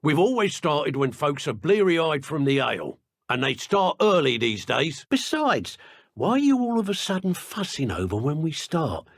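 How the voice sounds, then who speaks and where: Husky, grumbling wizard crook. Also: male voice, on the high side, very animated, normal speed, dry recording, clean studio sound. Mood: indignant. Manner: haughty, wry, argumentative. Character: grumbling, self-important British male dark wizard criminal. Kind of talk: video game character voice acting.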